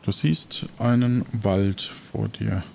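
A man's voice: lowish voice, steady tone, takes it slowly, gravelly, darkish tone, slight background noise. A man improvising tabletop game narration as game master, searching for his words as he describes scenes.

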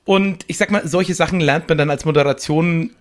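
A man's voice: on the high side, moderate pace. A man chatting as a podcast guest, explaining improv in a talkative, casual way with little examples.

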